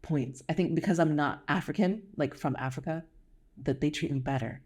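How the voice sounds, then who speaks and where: A woman's voice: sits low, normal speed, a little mellow, husky. A woman with an American accent chatting casually as a podcast guest, in a conversational way. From United States. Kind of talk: casual chat.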